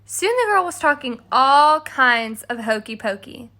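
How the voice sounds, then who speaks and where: A woman's voice: sits high, very animated, measured pace, bright, forceful tone, full character voice. A woman reading a children's storybook as a haughty, exasperated stepmother who tells her side with dramatic emphasis. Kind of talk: scripted children's storybook read-aloud.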